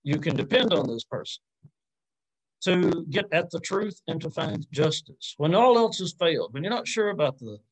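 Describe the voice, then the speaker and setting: A man in his forties speaking as an academic answering audience questions informally, thoughtful and halting, often hedging and rephrasing on a video call. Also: fairly high pitch, sing-song, rough recording. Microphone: computer mic on a video call.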